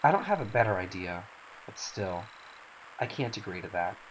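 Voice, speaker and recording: An earnest young game warrior, voiced by a man, troubled and principled. He speaks hesitantly in a lowish voice with expressive pitch, over a faint hiss. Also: normal speed, poor audio. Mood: uneasy protest. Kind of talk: dramatic reading of game cutscene dialogue.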